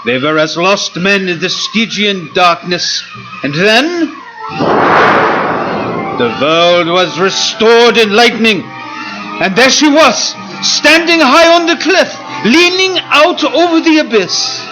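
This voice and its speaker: Male radio play narrator, high and unhurried, with music behind. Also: animated, low-quality recording. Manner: measured, building to urgent. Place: stage before a live audience.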